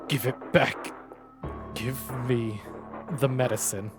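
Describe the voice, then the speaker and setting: A man's voice: medium pitch, fairly even intonation, slow, voice pushed down, natural voice. A man doing a strained stubborn young man. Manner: strained, urgent and demanding. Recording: game music playing behind.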